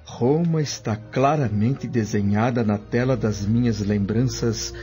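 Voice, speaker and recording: An actor plays an earnest male servant of ancient Rome in a radio drama. He speaks slowly and formally, reflective and mellow, with soft music behind him. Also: mid-range voice, natural voice. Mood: awed, wistful.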